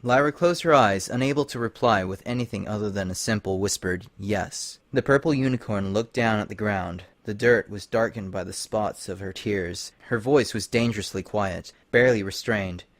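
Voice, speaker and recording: A man's voice: on the low side, normal speed, hardly any pauses, no echo. A man reading scripted fiction as a dramatic audiobook narrator. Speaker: story narrator.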